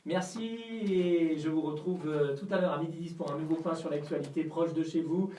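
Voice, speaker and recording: Male local radio presenter, higher voice, roomy and distant, hesitant. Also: steady tone, normal speed, mellow. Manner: hesitant, filler-heavy. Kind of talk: radio news and game wrap-up. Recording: echoey, distant, noisy studio mic.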